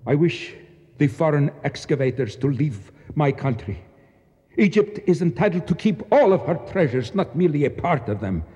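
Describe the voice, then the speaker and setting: An actor playing a proud, bitter Egyptian nationalist official in a radio drama, speaking formally and precisely with declamatory flourishes. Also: male voice, on the high side, sing-song, moderate pace, mellow, husky. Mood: stern, resolute. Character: proud, embittered, fanatical Egyptian nationalist official, courteous yet grim. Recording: dull sound with long echoing reverb.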